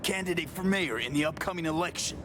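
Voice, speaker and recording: A cool, shrewd video game mercenary played by a man. The voice sits a little high for a male. Delivery is dry and clipped, with noticeable noise behind it. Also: fast, natural voice. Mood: cool, sardonic. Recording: clear background noise.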